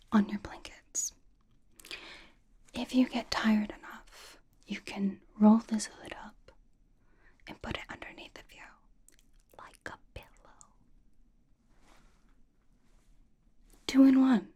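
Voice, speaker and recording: A woman voicing a young female K-pop idol who is dryly doting and comfort-obsessed, over-explaining playfully in a hushed, casual ASMR roleplay whisper. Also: medium pitch, breathy edge. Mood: playfully enthusiastic.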